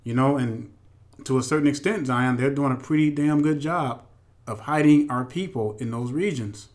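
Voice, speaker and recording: A man's voice: mid-range voice, normal speed, a little mellow. A man hosting a religious teaching commentary, speaking emphatically and directly to his followers with repeated phrases. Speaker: host.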